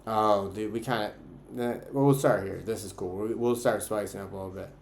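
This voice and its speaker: A man hosts a casual livestream game with a partner, bantering and teasing with loose, sweary phrasing. The voice echoes around the room, and background noise is clearly audible. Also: on the low side, steady tone, a little fast. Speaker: streamer hosting a couples trivia game with partner.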